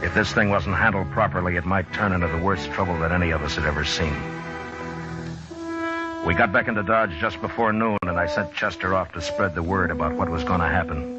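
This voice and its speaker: An actor playing a steady, weary frontier lawman in a 1950s radio western, measured, earnest and understated. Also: deep voice, close to an everyday voice. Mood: brooding narration. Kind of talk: 1950s radio drama acting. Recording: dull old radio recording with music.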